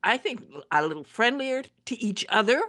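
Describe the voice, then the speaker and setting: A woman interviewing conversationally, with halting phrases and emphatic stress on key words. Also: fairly high pitch, animated, sharp tone, no echo, clean studio sound.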